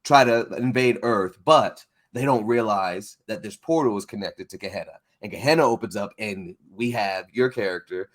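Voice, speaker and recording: A man hosting a casual interview, talking in an animated, rambling, slangy way. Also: lowish voice, moderate pace, hardly any pauses. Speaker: interviewer and host of a comics breakdown show.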